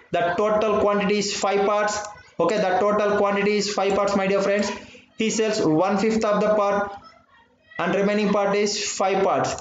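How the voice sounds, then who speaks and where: Indian guy teaching math online, says my dear friends a lot, repeats stuff, echoey muffled mic with a bit of hiss. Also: high-pitched. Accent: Indian English. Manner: repeats phrases, keeps saying "my dear friends" and "understood or not". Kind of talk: live online math class. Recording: dull, roomy audio with faint noise.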